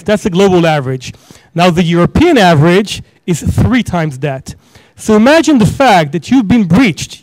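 A man's voice: high-pitched, a little mellow, no room sound, low-quality recording. Hesitant man speaking on a panel. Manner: hesitant, many fillers and restarts. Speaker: panelist lawyer. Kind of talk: conference panel talk. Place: conference stage before an audience. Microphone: handheld microphone.

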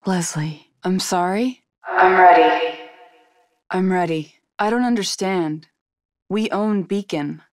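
A woman's voice: fairly low pitch, slow, long pauses, no echo, clean studio sound. A young woman voicing a guarded, determined female agent in a horror video game, serious and terse. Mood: focused, puzzled.

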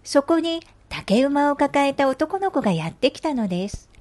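A woman's voice: high voice, very animated, normal speed, a little mellow. A woman reading scripted narration for an educational storytelling and explainer video. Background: music.

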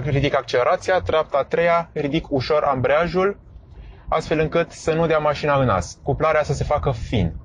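A man calmly explaining step by step while driving, with frequent hesitations, recorded inside a moving car. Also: fairly high pitch, highs cut off, a little hiss or hum. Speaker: driving instructor vlogger.

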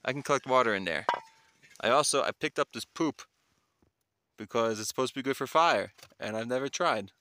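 A man's voice: medium pitch, expressive, a little fast, sharp tone, dry recording. A man narrating a casual solo hiking vlog in a chatty, wonder-struck way, speaking outdoors into a handheld camera. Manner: chatty, exclaiming in wonder, often chuckling. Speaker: solo hiker vlogging. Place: outdoors in windy, open mountain terrain. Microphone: handheld phone or camera while walking. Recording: noisy outdoor recording with clicks.

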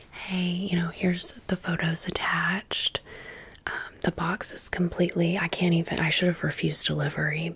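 A woman's voice: sits low, a bit bright, slightly rough. A young adult American woman whispering a casual ASMR storytime close to the microphone, rambling with sassy, emphatic asides. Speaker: ASMR video host.

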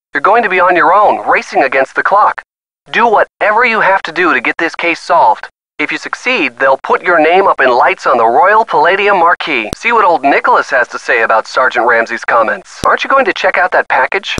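Game casting: earnest young boyfriend, encouraging and folksy, higher-pitched, very lively intonation. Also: male voice, poor audio. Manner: encouraging, folksy turns of phrase. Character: earnest young boyfriend coaching a teen detective by phone. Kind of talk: scripted video game hint lines.

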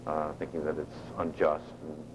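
A man answering interview questions in a halting way, with many fillers and restarts. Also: rumbling low, monotone, slight background noise, rough recording.

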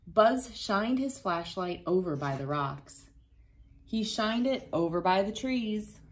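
A woman in her thirties reading a picture book aloud to children, gentle and playful, laughing now and then, recorded on a phone camera indoors. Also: medium pitch, animated, slow. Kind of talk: read-aloud storytime for kids. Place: bright room indoors.

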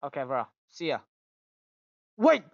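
A young man with a Russian accent, hosting a casual joking chat on a voice call, stammering and excitable. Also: on the high side, a little slow, gravelly, no room sound, muffled recording. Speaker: channel host. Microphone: phone on a voice call.

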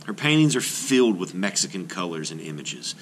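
A man reading a children's biography aloud like an art teacher, in an expressive storytelling way with sentences that trail off. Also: low-pitched, a little fast, rough recording. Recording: noticeable background noise.

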